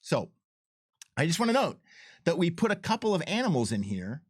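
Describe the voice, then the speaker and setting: A man's voice: a little high, very animated, brisk, dry recording, studio quality. A man talking casually as a game developer and co-commentator, repeating words while he thinks aloud.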